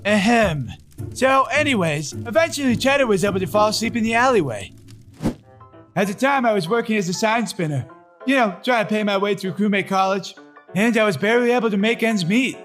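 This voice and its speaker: A very high, whiny cartoon crewmate, self-absorbed and theatrical. He rambles like a storyteller, with faint music behind him. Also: expressive, a little fast, fairly bright tone. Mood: smug, rambling. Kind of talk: cartoon voice acting.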